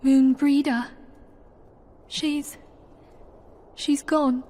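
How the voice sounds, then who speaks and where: A woman's voice: high voice, flat delivery, very slow, dark tone. A woman playing an earnest young female mystic leader in a fantasy game, solemn and pleading. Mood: grieving. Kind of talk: fantasy video game acting.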